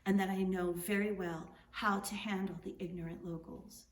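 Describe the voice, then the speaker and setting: A woman acts out a snobbish Spanish gentleman from a storybook. He is pompous, boastful and rude, full of self-importance. The recording is roomy, with faint noise behind. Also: high-pitched, little pitch movement, moderate pace, natural voice. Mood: smug, boastful. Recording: roomy, distant mic, light noise.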